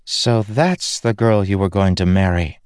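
A man's voice: low voice, a little slow, darkish tone, clean tone, no echo, studio quality, pitched above the performer's own voice. A man voicing a playful small female spirit with a light, teasing manner.

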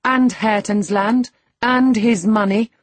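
A narrator voicing a reckless, sharp-tongued young woman, pert and taunting, in an audiobook. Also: mid-range voice, fairly even intonation, measured pace, slightly rough, dry recording.

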